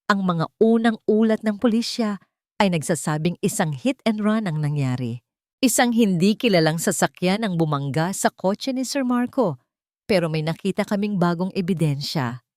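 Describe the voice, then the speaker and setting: A woman's voice: on the low side, expressive, normal speed, darkish tone, no room sound, studio quality, close to an everyday voice. A woman voicing a respectful, formal male investigator in an audio story. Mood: grave, deferential. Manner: formal, deferential. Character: respectful male investigator reporting gravely to his wealthy boss.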